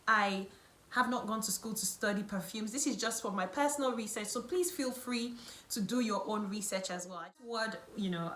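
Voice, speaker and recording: A woman's voice: medium pitch, fairly bright tone. A woman beauty and lifestyle vlogger, whose first language is not English, explaining things to camera in a chatty, self-correcting way. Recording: echoey room with faint music.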